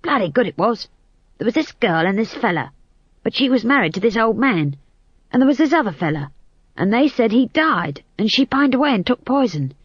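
A woman doing a gruff, blustering male dwarf. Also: a little high, very animated, fairly quick, dry recording, pitched above the performer's own voice. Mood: gushing. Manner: blustering, gossipy, gabbling. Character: gruff male dwarf warrior, secretly sentimental. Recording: slightly dull, dry recording.

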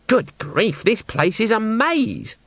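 A man reading a storybook, voicing a stern old witch woman with a brisk, imperious way of talking. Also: sits high, sing-song, fairly bright tone, no echo, pitched above the performer's own voice, exaggerated voice. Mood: brisk, impatient.

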